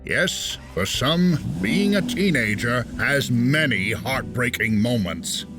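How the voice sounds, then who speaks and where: A man's voice: medium pitch, animated, slow pace, music in the background. A man giving theatrical, scripted comic-book narration with a dramatic showman's delivery.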